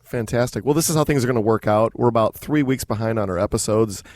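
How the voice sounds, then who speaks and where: A man's voice: mid-range voice, fast talker, a little mellow, a little hiss or hum. A man co-hosting a casual podcast, asking loose, rambling questions with frequent restarts. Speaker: podcast co-host.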